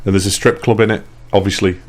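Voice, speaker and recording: A man with a slightly low voice chats casually as a let's play commentator. There is some noise in the recording behind his voice. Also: little pitch movement, fast talker. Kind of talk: casual gameplay banter.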